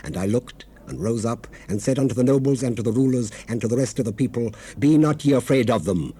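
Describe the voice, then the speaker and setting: A man's voice: mid-range voice, quick pace, dark tone, breathy edge, natural voice. An actor playing an earnest, devout leader recounting his people's struggle in a solemn, oratorical scripture dramatization. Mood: rousing, commanding. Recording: hissy 1970s cassette rip.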